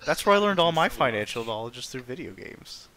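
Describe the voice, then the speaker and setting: A man streaming a game, bantering casually in an unhurried, tongue-in-cheek manner. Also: medium pitch, big pitch swings, sharp tone, rough recording.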